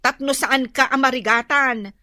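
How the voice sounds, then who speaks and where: Shrill, boastful Ilocano neighbor woman. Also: steady tone, bright, forceful tone, no room sound, clean studio sound. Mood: mocking, showy. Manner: taunting, drawn-out, showy. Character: boastful, mocking, stingy village neighbor woman flaunting her cooking. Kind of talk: Ilocano radio comedy drama acting.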